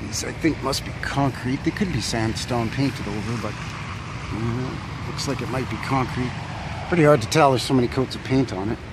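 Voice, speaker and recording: A man's voice: mid-range voice, normal speed. A man walking outdoors with a handheld phone camera on a windy winter street, thinking aloud about an old building with many fillers and unsure asides. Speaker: vlogger exploring old buildings. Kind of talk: casual walking narration. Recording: wind and street noise behind.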